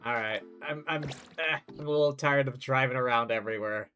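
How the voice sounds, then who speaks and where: Male game streamer, casual running commentary, clear music underneath. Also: mid-range voice, brisk, bright, forceful tone. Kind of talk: casual play-by-play chat while gaming.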